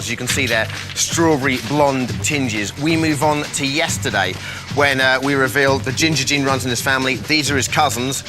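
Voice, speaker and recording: A male TV presenter of medium pitch, talking in a deadpan, mock-serious tone full of jokes. Music plays clearly under him. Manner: deadpan, jokey.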